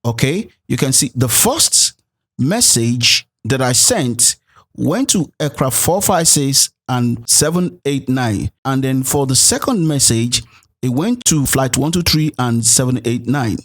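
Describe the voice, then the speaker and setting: A man's voice: medium pitch, lively intonation, measured pace. A man narrating a programming tutorial as an instructor, explaining things step by step. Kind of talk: tutorial narration with code walkthrough.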